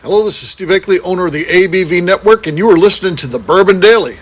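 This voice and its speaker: A man's voice: high-pitched, very fast, a bit bright. A man in his fifties hosting a podcast, chatting casually and rambling with many restarts and fillers. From United States. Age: 50s. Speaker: podcast host. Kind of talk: casual chat.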